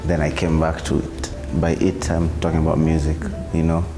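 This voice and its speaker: A man's voice: a bass voice, normal speed, echoey room. A Kenyan man in his thirties, a musician, talking reflectively in an interview. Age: 30s. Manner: relaxed, reflective, sighing. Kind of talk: interview testimonial. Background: background music.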